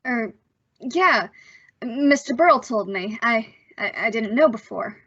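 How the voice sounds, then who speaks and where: A woman as an earnest teenage boy narrating and acting in a radio suspense play. The voice is fairly high and bright, with a bashful, halting delivery. The recording is slightly muffled. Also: lively intonation, slightly rough, heavily acted. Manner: halting, nervous stammer with sheepish delivery.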